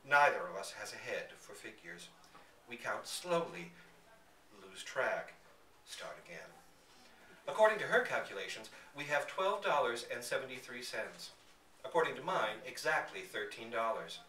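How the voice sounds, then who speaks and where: A man reading a literary story aloud to listeners in a bookstore, with theatrical, deliberate phrasing. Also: medium pitch, sing-song, bright, forceful tone. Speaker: reader at a live literary reading. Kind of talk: expressive scripted story narration. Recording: echoey room, distant mic, faint background noise.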